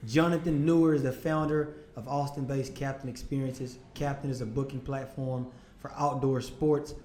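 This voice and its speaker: A man with a hoarse voice hosts a relaxed interview show, often tripping over the start of his questions. His pitch sits in an ordinary middle range. The room around him rings with a noticeable echo. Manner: halting, repeats words when starting questions. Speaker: podcast host. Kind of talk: casual interview chat.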